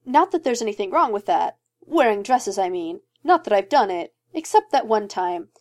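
A woman's voice: mid-range voice, expressive, a little mellow, lightly acted. A woman reading a story aloud, voicing a wisecracking male mercenary who rambles from joke to joke. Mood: manic, joking. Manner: fast rambling jokes, breaks into laughter. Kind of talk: fan fiction reading.

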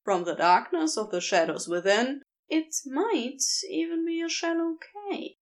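A woman reading a fan fiction story aloud as a podfic, in an expressive, deliberate narration. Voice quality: lively, expressive reading with wide pitch swings. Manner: slow, deliberate storytelling pace. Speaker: podfic reader.

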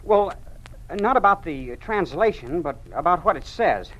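An actor playing an earnest, questioning young college student in an old-time radio drama. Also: male voice, sits high, expressive, measured pace, fairly bright tone, lo-fi sound. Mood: hesitant, insistent. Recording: noisy old radio recording.

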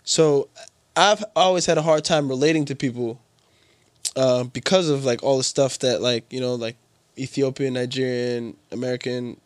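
A young man from Switzerland talking casually, in a relaxed, slangy way that draws out phrases he wants to stress. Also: mid-range voice, no room sound. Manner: relaxed slangy talk, drawn-out emphatic phrases. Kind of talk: casual conversation.

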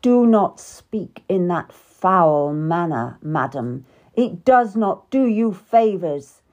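A woman voicing an impatient, domineering middle-aged king who speaks loudly and curtly. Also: medium pitch, highs cut off. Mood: scolding. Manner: loud, curt and scolding. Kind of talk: audiobook character reading.

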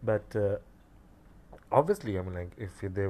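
A man's voice: on the low side, expressive, normal speed, a little mellow, a little hiss or hum. A man with an Indian English accent, a guest chatting casually and playfully, laughing often. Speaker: interview guest, cross-dresser. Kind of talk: casual chat.

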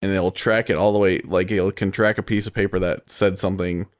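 Man, lowish voice, easygoing, relaxed chat. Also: fairly quick. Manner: easygoing, brings up news items. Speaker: podcast co-host. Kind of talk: casual chat.

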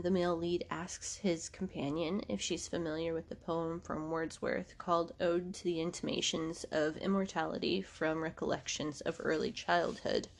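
A woman's voice: on the low side, creaky, darkish tone. A woman, hosting a podcast in a casual, rambling monologue, congested from allergies and laughing often. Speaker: podcast host.

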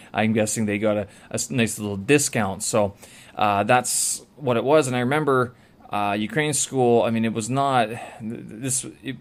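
Man, lower voice, loose rambling vlog monologue full of ums and restarts. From Canada. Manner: rambling, many filler sounds and self-corrections. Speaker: vlogger. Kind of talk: casual monologue to camera.

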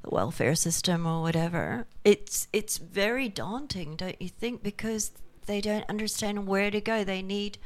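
A woman's voice: mid-range voice, moderate pace. Woman interviewer, attentive and engaged. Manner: engaged, frequent backchannel murmurs. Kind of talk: conversational interview.

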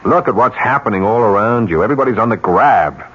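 Casting: smooth, deep-voiced cynical ad man, persuasive and emphatic, for a vintage radio thriller with muffled sound. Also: expressive, fast, fairly bright tone. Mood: cynical, insistent. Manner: smooth, chuckling, insistent and emphatic. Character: cynical, persuasive male ad executive scheming to corrupt a colleague. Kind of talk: radio mystery drama acting. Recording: dull, noisy old radio recording.

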